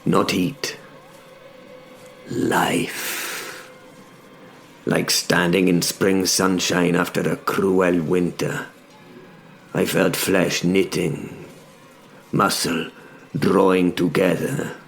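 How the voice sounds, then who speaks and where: A man giving measured, dramatic first-person narration of a story in short phrases. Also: low-pitched, flat delivery, creaky.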